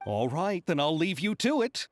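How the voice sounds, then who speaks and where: An actor playing an earnest, excitable film producer in a video game, polite and effusive. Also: male voice, on the high side, normal speed. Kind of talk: English video game voice acting.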